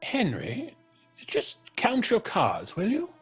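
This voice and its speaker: An actor with a British accent playing a jovial, scoffing gentleman, hearty and drawling, in an old-fashioned radio drama. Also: high voice, huge pitch swings, takes it slowly, faint music behind, heavily acted. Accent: British English. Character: jovial, scoffing English gentleman sceptic at cards.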